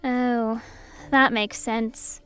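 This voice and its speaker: Young girl princess, acted by a woman: high light pitch, candid and curious, fantasy audio drama. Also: level tone, very slow, fairly bright tone, soft background music. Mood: curious, surprised.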